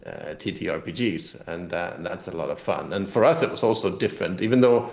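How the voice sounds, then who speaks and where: The recording is roomy and echoing, and the man's voice sits fairly low. He talks casually as a designer being interviewed, feeling his way through explanations. His phrases restart and repeat as he goes. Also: a little fast, vocal fry, a little mellow. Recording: roomy, echoing room sound.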